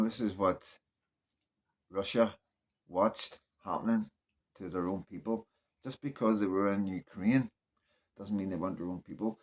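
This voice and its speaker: A man with a Northern Irish accent and a fairly low voice talks off the cuff. He sounds like an online commentator giving his opinions conversationally, and he keeps checking that the listener is following. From Northern Ireland. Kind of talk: casual unscripted political monologue.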